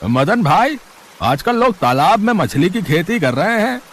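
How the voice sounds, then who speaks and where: A chatty, teasing fisherman from a village story, acted by a man. He talks in a familiar, animated manner, his voice a little high for a man. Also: a little slow, exaggerated voice.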